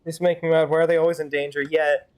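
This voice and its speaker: Wry woman streamer with a low-key rambling patter. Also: level tone, rapid-fire, a little mellow, slight background noise. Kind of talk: improvised fan-dub game commentary. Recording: faint game music behind. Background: faint game music.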